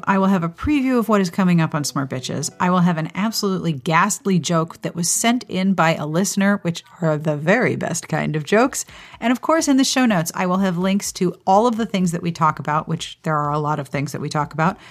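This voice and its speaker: Low-voiced woman hosting a chatty podcast. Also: animated, a little fast. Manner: chatty, playful, exclaims readily. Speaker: podcast host and interviewer. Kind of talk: upbeat podcast intro, ad read and interview.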